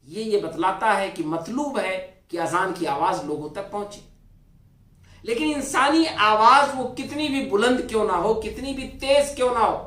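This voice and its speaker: A man giving a formal religious lecture as an Islamic scholar, speaking in a deliberate, explanatory, oratorical way with pauses and repeated phrasing. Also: high voice, sharp tone. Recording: roomy echo, distant microphone.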